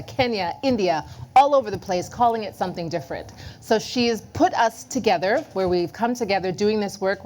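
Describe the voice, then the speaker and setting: Friendly woman panelist from Toronto. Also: medium pitch. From Toronto, Canada. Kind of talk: panel introduction. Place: conference room.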